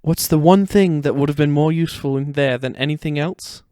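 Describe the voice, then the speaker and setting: A man voicing a bold, excitable teenage boy wizard in a dramatic story reading. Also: fairly high pitch, fairly quick, no room sound, studio quality, voice pushed up, close to an everyday voice. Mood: eager, scheming.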